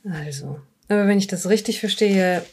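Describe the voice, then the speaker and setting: A woman playing a composed, formal police psychological evaluator in an audio drama, speaking in measured, careful phrases and politely dismissive. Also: mid-range voice, a little mellow, breathy edge. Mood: clinical, measured. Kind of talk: improvised audio drama dialogue.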